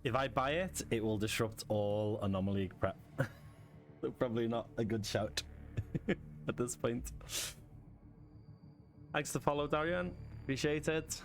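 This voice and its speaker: A man's voice: medium pitch, unhurried, long pauses. A man with a Liverpool accent, chatting casually on his game stream, thanking viewers and chuckling often. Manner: chatty, thanks chat, frequent chuckles and fillers. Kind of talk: relaxed live-stream chat. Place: home. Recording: clear background music. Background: music.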